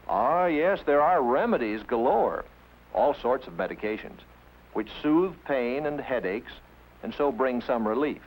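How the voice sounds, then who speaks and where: A man's voice: mid-range voice, poor audio. A man delivering scripted 1950s educational film narration in a didactic, emphatic manner, posing rhetorical questions, on an old film soundtrack.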